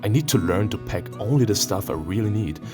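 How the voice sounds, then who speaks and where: A man with a fairly low, very animated voice reads a visual novel aloud. He is playful and expressive, dropping casual, sweary reactions between narrated passages. Music plays behind him. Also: fairly quick. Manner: expressive, playful, profane asides. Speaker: let's player.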